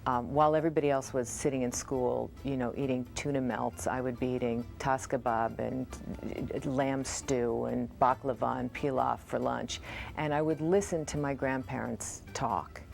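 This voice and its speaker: Woman, American accent, extremely low pitch, detailed personal recollection, documentary interview, faint music behind. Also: fairly even intonation, normal speed, creaky, a little mellow. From United States. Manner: descriptive, lists details.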